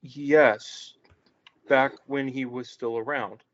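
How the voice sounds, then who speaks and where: A man's voice: medium pitch, steady tone, slow, close to an everyday voice. A man reading a naive, sheltered young man at a play table read, hesitant and stammering. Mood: earnest. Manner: hesitant, stammering, wide-eyed. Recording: echoey room, muffled lo-fi.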